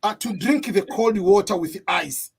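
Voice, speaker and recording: A man from Tanzania chatting casually as a guest on a live stream from home, relaxed and laughing often. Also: high voice, unhurried, vocal fry, slightly rough. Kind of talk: casual chat. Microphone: computer mic.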